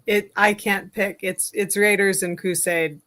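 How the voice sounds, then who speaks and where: Bright-voiced woman guest in casual chat. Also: medium pitch, fairly even intonation, measured pace. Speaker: interview guest.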